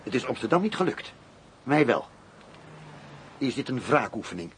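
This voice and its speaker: An actor playing a grave, determined police commissioner in a radio play, speaking in a serious, deliberate, formal way. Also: male voice, mid-range voice, very animated, a little hiss or hum, lightly acted. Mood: bitter, indignant.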